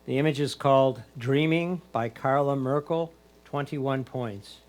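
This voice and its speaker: Medium-pitched man announcing scores. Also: unhurried, a little mellow. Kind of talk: formulaic announcing of titles and scores.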